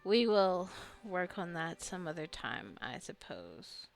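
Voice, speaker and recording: A woman's voice: on the low side, sing-song, takes it slowly, gravelly, faint music behind. A woman giving casual let's play commentary, chatty and rambling as she muses aloud. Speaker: gamer narrating own gameplay.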